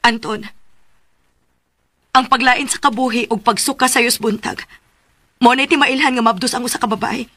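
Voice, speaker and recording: High, bright, frightened young woman. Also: steady tone, normal speed, long pauses, dry recording. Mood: pleading, distressed. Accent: Cebuano. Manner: emotional, pleading. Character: frightened young woman, pleading, anxious sweetheart. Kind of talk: radio drama acting.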